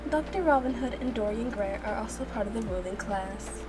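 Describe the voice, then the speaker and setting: Girl reading a snooty formal game guy, lecturing, on the higher side, music behind. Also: natural voice. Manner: condescending, measured, formal. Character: haughty, formal man of a ruling class, explaining.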